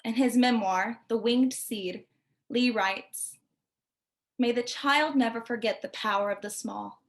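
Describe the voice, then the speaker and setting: A woman's voice: on the high side, unhurried. A woman reading scripted narration as the host of a poetry feature.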